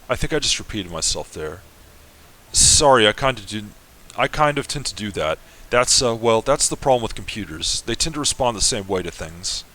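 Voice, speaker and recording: Man reading as a female ship AI, apologetic, chatty, game reading, lowish voice. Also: animated, moderate pace, pushed and bright, low-quality recording. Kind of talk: semi-dramatic reading of a game.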